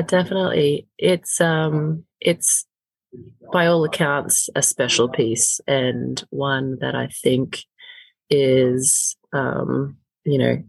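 A woman speaks in a husky voice with a gravelly edge. She talks in a halting, reflective way, filling gaps with soft pauses. The sound is close and dry with no room around it. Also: rumbling low, no room sound, poor audio. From Australia. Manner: thoughtful, halting, with many filler pauses. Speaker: actor interviewed as a guest. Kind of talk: casual reflective interview talk.

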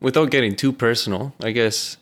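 A man's voice: medium pitch, brisk, fairly bright tone. Male podcast co-host with a Venezuelan Spanish accent; easygoing, chatty. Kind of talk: casual chat. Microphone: remote call.

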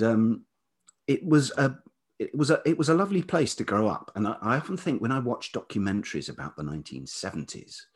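A middle-aged man from Kent with a southern English accent and a velvety voice, telling personal stories thoughtfully as an interview guest, often pausing mid-sentence. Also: fairly low pitch. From Kent, England. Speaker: podcast guest, writer and musician. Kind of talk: reflective personal storytelling in conversation.

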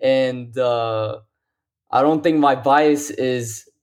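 A man from Lebanon, a guest on a football podcast, speaking remotely and pausing often with ums and restarts. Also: medium pitch, a little mellow. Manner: hesitant, many ums and restarts. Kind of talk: casual podcast chat. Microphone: remote call.

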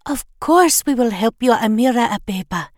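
A happy little farmer out of a storybook, voiced by a woman narrator. The voice is raised in pitch, kindly and cheerfully welcoming. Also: sing-song, unhurried, darkish tone, dry recording, clean studio sound, full character voice. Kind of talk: storybook character voice.

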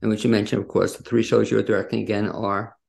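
A man's voice: lowish voice, flat delivery, fast talker, creaky, a little mellow. A man hosting a casual interview, rambling a little as he circles toward his questions. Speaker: interview host.

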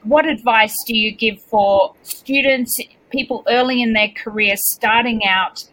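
Woman host, brisk and polite, fairly high and bright. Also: rough recording. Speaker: interview host. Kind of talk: hosting an interview.

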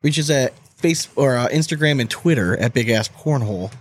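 A man co-hosting a podcast, chatting informally and excitably. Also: mid-range voice, a little fast, very noisy. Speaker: podcast co-host. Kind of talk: casual chat, posing questions.

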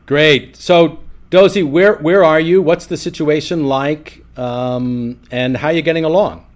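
A man's voice: a little high, unhurried. A man hosts a conversational podcast interview as a seminary rector.

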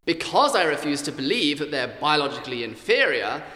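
In a big echoing hall, a flamboyant male provocateur played by an actor holds forth in a high, bright voice. His delivery swings widely and theatrically, mocking and condescending, as in a radio play about a debate. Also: big pitch swings, brisk. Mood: smug, argumentative. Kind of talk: staged panel debate in an audio play. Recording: echoey hall with long reverb.

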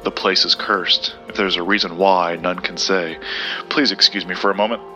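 A man performs a somber, haunted author in an audio drama. His voice is low but forward and bright. He speaks in a measured, understated manner, as an honest man would. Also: fairly even intonation, faint music behind.

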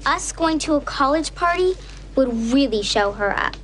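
A woman's voice: sits high, animated, normal speed, a bit bright, slight background noise. A young female actor playing a sassy, scheming girl who pleads with her dad in a sitcom. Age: child.

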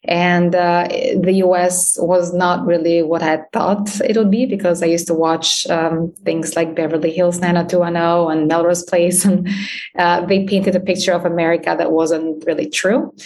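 A woman's voice: fairly low pitch, level tone, normal speed. A woman in her thirties, raised in Albania, speaking as a guest in a podcast interview, with frequent fillers and light laughs. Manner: warm, many fillers, laughs while talking. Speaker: guest, startup founder. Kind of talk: interview conversation.

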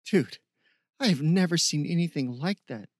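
A man voicing an excitable young male friend in an audiobook, with slangy, casual, emphatic speech. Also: fairly high pitch, normal speed, no room sound, clean studio sound. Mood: relieved, amazed.

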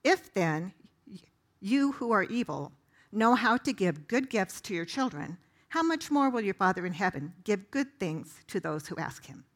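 Woman reading Bible verses, medium pitch. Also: big pitch swings, moderate pace. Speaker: volunteer scripture reader. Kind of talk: reading scripture aloud.

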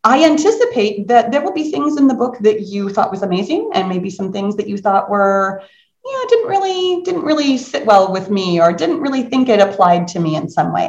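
A woman hosting a casual live book discussion, talking in an animated, conversational way and inviting the group to join in. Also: medium pitch, highs cut off. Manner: animated, conversational, encouraging audience participation. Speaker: book club host. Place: indoor room on a group video call. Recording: roomy echo.

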